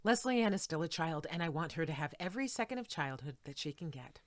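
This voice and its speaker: A woman's voice: sits low, lively intonation, fast talker, dry recording. A woman playing a weary, protective mother in an audio drama, speaking in clipped, insistent lines. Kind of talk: naturalistic audio drama acting.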